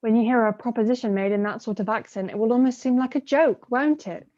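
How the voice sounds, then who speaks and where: A woman acts as a defiant young daughter in a theatrical play, sardonic and bitter. Her voice is fairly high and soft-edged, heard over slightly muffled video-call audio. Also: fast, close to an everyday voice. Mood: mocking.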